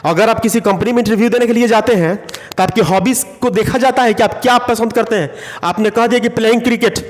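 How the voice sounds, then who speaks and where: A man teaching English phrases in a classroom lesson, slowly repeating them word by word. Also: high voice, long reverb tail.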